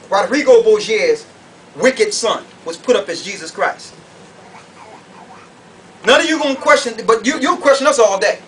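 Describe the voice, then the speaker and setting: A man's voice: extremely high pitch, fairly bright tone. A man preaching a fiery doctrinal sermon to an audience, emphatic and confrontational, firing off rhetorical questions. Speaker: preacher, conference speaker. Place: conference room or hall. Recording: distant mic, noisy room ambience.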